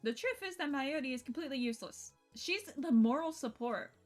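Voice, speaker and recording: High-voiced woman streamer reading a game aloud. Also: animated, fairly quick. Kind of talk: reading visual novel narration aloud with casual asides. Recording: music behind the voice.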